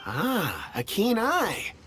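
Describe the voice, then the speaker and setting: A man's voice: high-pitched, wildly swooping pitch, drawn-out words, fairly bright tone, exaggerated voice. A man voicing a polite, curious, absent-minded young man in a video game, speaking eagerly in old-fashioned courteous phrases. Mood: admiring, rapt. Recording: faint music under the voice.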